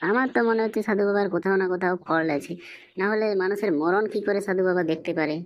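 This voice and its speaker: A woman's voice: medium pitch, quick pace, close to an everyday voice. A woman voicing a hapless, pleading young male swindler in a Bengali comedy cartoon. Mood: cheeky, protesting. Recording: dull lo-fi, very dry cartoon dub.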